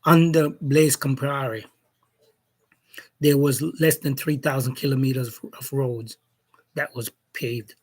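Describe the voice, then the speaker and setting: A man from Jamaica speaking as a commentator in an opinion monologue, slow and deliberate, posing challenging questions. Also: medium pitch, fairly even intonation.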